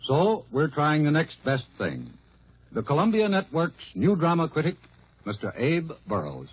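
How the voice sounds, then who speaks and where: A man's voice: on the high side, wildly swooping pitch, a little slow, slightly rough, no echo. An American man hosting a radio program, reading scripted narration with dry humor on a vintage broadcast. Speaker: radio host. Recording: muffled 1950s radio broadcast.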